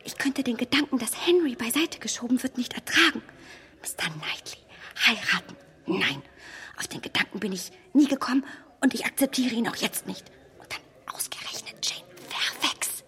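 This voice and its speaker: An actress playing a strong-willed, self-assured young heroine who talks emphatically in a hushed voice, in a radio play. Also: female voice, on the high side, expressive, moderate pace, whispered. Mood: indignant.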